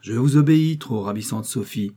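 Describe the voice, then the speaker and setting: Male reader doing a fawning lovesick young suitor, gushing and theatrical, pitched up, husky tone, storybook. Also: lively intonation, normal speed, warm tone. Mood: gushing, pleading.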